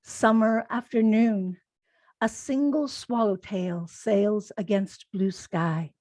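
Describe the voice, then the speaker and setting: A woman poet reading haiku aloud in a measured delivery with pauses between the phrases. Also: medium pitch, a little mellow, no echo, clean studio sound. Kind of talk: poetry reading.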